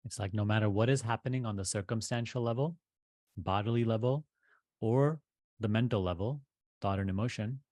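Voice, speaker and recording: Male meditation guide, lower register, deliberate phrasing with pauses, very dry sound. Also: darkish tone, dry recording. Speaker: meditation teacher.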